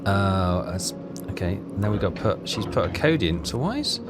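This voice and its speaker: Low-voiced man puzzling aloud over a game. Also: very animated, moderate pace. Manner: muttering, puzzled, questioning himself. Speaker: video game player and commentator. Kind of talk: casual let's play commentary, thinking aloud. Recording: game music behind the voice. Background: game music.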